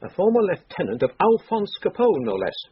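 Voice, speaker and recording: Husky english detective, dead precise n polite, lilting pitch, muffled radio. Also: male voice, huge pitch swings, heavily acted. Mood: dry, informative. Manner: formal, measured, dryly precise. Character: dry, precise, deferential English police inspector. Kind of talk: radio drama acting. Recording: dull lo-fi radio recording.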